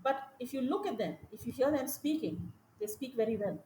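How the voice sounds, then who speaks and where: A woman with an Indian English accent speaks as a teacher in a conversational interview, stressing her points with animated repetition. Her voice sits fairly high. The room is echoey, with faint ambient noise behind her. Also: fairly quick. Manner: animated, emphatic, repeats points. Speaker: teacher, podcast guest.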